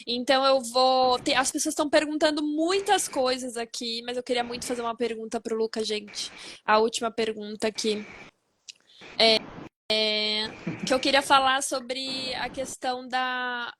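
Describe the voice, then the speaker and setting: A woman's voice: a little high, steady tone, moderate pace, fairly bright tone, a little hiss or hum. A woman hosts a live-stream interview, chatty and enthusiastic. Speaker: host.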